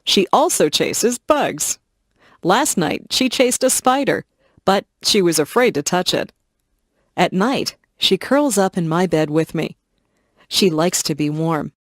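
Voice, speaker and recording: A woman reading short scripted passages for English learners in a slow, clear, evenly paced voice. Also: fairly low pitch, animated, no echo, clean studio sound. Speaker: English lesson reader. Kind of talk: scripted narration of simple passages.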